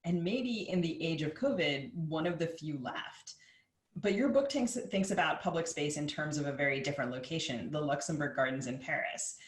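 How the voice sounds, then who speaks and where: A woman's voice: deep voice, normal speed, reverberant. A woman co-hosting a podcast, articulate and wry as she introduces and questions guests. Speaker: podcast co-host, novelist.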